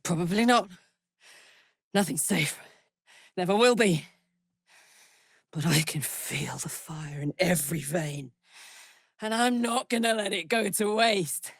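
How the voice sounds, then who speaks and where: A woman playing a brash, warm-hearted tough warrior in a video game, blunt and sweary with a casual swagger and earnest feeling. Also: lowish voice, lively intonation, slow, vocal fry, pauses often, breathy edge, no room sound, studio quality, heavily acted. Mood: earnest, heartfelt. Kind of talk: video game character voice lines.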